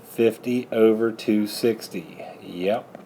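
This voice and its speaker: A man's voice: on the low side, takes it slowly, warm tone, a little hiss or hum, low-quality recording. A man working methodically through a math lesson as a teacher, patiently prompting students with questions. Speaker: high school algebra teacher.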